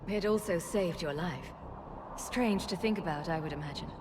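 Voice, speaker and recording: A woman playing an earnest, composed scientist in a sci-fi video game, speaking in a measured, articulate way. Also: fairly low pitch, noisy background, natural voice. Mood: reflective. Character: earnest, composed adult woman scientist, former research physicist.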